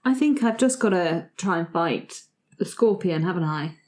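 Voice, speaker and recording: Lower-voiced woman playing a tabletop game. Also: expressive, normal speed, darkish tone. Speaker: player in a tabletop roleplaying game. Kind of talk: casual improvised game play.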